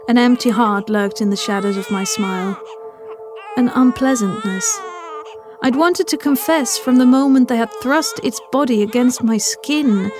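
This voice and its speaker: A woman's voice: on the high side, a little mellow. A woman narrating a scripted first-person horror story as an audio fiction reader.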